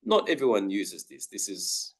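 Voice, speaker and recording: A man from Australia with an Australian accent, giving a careful, clear talk as a webinar guest speaker. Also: lowish voice, big pitch swings. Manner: slow, careful, clear explanation.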